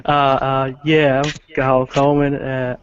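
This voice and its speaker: Flat-toned Australian-accented man. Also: medium pitch, unhurried, highs cut off, a little hiss or hum. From Ireland. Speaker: panel guest.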